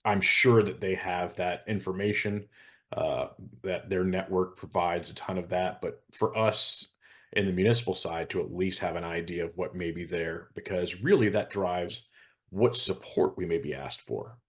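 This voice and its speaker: American male, very low register. Hazmat specialist on a panel, informal but measured and matter-of-fact. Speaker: hazardous materials expert on a panel show. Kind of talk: informal explanatory discussion.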